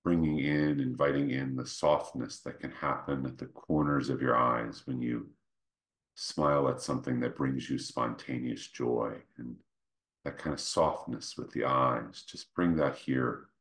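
A man leading a guided meditation, speaking gently and without hurry, in short phrases with pauses between them. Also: fairly even intonation. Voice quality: very deep, creaky. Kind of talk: guided meditation, slow soothing instruction.

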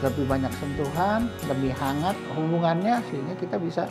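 A middle-aged man speaks in measured interview answers, often pausing with fillers as he explains. He is recorded on a lapel mic inside a clinic, and clear background music plays under his voice. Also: a little high. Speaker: hospital director. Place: indoor hospital clinic.

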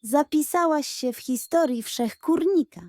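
A woman voicing a fake chicken spirit in a children's fairy tale, mysterious, pompous and full of grand flattery. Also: high-pitched, unhurried, dry recording, clean studio sound, pitched above the performer's own voice. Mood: grandiose flattery.

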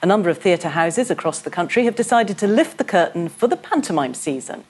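A woman's voice: fairly low pitch, animated, fairly quick, darkish tone, a little hiss or hum. A woman in her fifties presenting and interviewing on a TV news programme. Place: TV news studio. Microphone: studio lapel.

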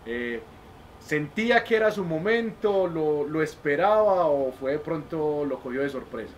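A man's voice: a little high, expressive, measured pace. A Colombian man working as an interviewer, asking questions in a conversational way. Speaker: club media interviewer. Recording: faint background ambient noise.

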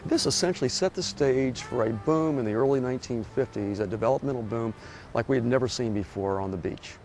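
A man of middle pitch narrates a walking tour in a measured, informative manner. He is recorded on a lapel mic outdoors and in a hotel lobby, with soft music underneath. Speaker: local historian and tour guide. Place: outdoors on a beach boardwalk, later a hotel lobby.

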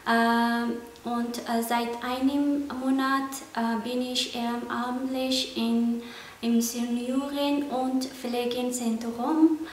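A young woman speaks slowly and evenly, hesitating over her words. Her voice is a little high. The room echoes, with a faint hiss. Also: level tone. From Afghanistan. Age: 20s. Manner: hesitant learner speech.

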